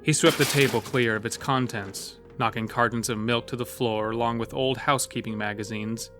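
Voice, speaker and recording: Male horror narrator over loud music. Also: medium pitch, fairly even intonation, normal speed. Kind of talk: scripted horror story narration. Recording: music bed under the voice.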